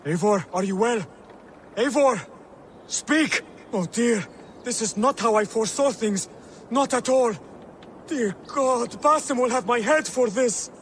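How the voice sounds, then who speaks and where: An actor playing an earnest, devout young male assassin mentor in a video game, speaking in measured, formal, instructive phrases. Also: very high-pitched, a little hiss or hum. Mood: urgent, worried. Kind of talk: dramatic video game acting.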